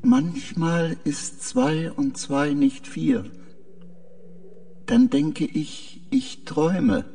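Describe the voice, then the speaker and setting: A man acting as a high, bright-less, creaky male artificial mind from a sci-fi radio play. He is confused and dreamy, speaking slowly and haltingly. The voice sounds a little distant, with music behind it. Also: sing-song, full character voice. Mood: dreamy, uneasy. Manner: halting, short hesitant answers. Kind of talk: science fiction audio drama.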